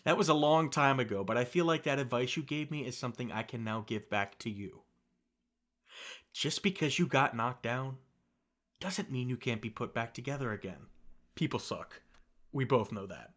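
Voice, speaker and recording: A young man performing a scripted ASMR roleplay as a best friend, talking softly and gently and reassuring the listener, with light teasing laughs. He is recorded on a close studio mic. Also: mid-range voice, moderate pace.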